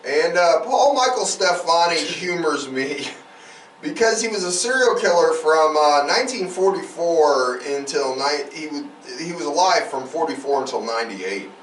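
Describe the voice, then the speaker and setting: A man's voice: on the high side, animated, moderate pace, fairly bright tone. A man co-hosting a casual true-crime podcast, rambling along and repeating himself for emphasis. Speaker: podcast co-host. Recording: roomy, distant mic, faint noise.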